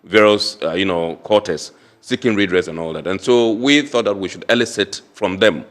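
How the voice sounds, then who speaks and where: A male interview host, asking measured, probing questions and pushing back on his guest. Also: low-pitched, fairly bright tone. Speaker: show host. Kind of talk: studio interview.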